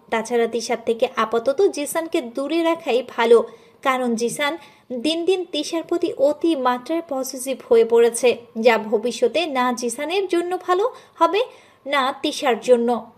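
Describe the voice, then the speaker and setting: A woman narrator with a high voice. She delivers scripted storytelling, carrying the tale between the acted dialogue. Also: darkish tone.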